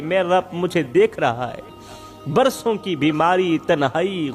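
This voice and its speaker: A man preaching in an emotive religious storytelling style, dramatic and rhetorical, repeating phrases for emphasis. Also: high voice. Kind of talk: emotive Urdu religious sermon with moral storytelling. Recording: background music under the voice. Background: music.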